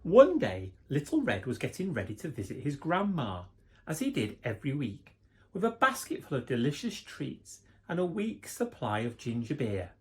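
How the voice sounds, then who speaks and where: A man's voice: on the high side, wildly swooping pitch, moderate pace, darkish tone. A man who works as a primary school teacher, reading a children's story expressively and addressing young listeners directly.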